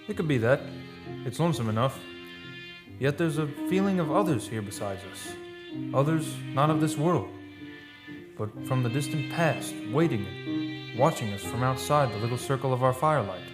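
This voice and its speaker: A man playing a brooding, superstitious painter in a radio drama, with grave, poetic, deliberate phrasing. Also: medium pitch, lively intonation, over music, natural voice. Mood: brooding, wondering. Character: brooding, superstitious painter from an old seafaring people. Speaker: actor. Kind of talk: radio drama dialogue, lyrical.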